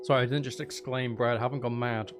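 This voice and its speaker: A man's voice: mid-range voice, fairly even intonation, fast, music in the background. A man hosting a casual gaming livestream, rambling and thinking aloud with playful, mock-dramatic asides. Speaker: livestream host.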